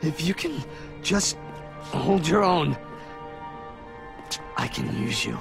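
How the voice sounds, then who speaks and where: Contemptuous fighter, slightly high gravelly voice, curt, disdainful, cold, video game drama. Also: male voice, animated, takes it slowly, over music, full character voice. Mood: cold contempt. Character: cold, contemptuous male fighter, ruthlessly pragmatic.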